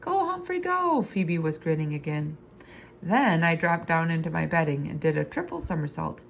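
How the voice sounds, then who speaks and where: A woman reading a children's book aloud in an animated, expressive storytelling manner. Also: low voice, normal speed, vocal fry, slight background noise, low-quality recording.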